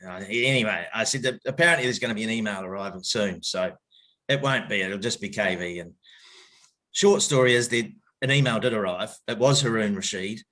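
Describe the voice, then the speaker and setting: A middle-aged man with a New Zealand accent and a fairly low voice talks through his past in an interview. He hesitates often, restarts his sentences, and speaks openly and reflectively. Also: sharp tone. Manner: halting, many fillers and restarts, open and reflective. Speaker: guest, cricket coach. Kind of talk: candid podcast interview storytelling.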